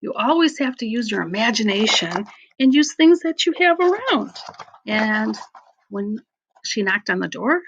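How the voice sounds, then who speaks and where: Very animated woman teacher, slightly dull recording. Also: fairly high pitch, a little slow, a little hiss or hum. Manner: expressive, encouraging children to imagine. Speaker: elementary school teacher. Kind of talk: casual instructional talk to children.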